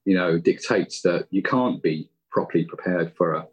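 Low, husky British man chatting. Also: moderate pace. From United Kingdom. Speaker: podcast writer and guest. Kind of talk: casual interview chat.

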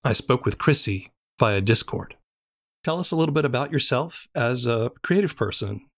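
A man hosting a podcast, reading a measured scripted intro and asking interview questions. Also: medium pitch, expressive, fairly bright tone. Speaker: podcast host.

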